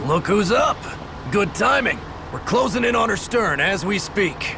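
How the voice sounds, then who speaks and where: A man acting a lively seafarer in a video game cutscene, calling out heartily. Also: high-pitched, measured pace, gravelly, noisy background, heavily acted. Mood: upbeat, alert. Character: lively seafarer hailing companions aboard ship.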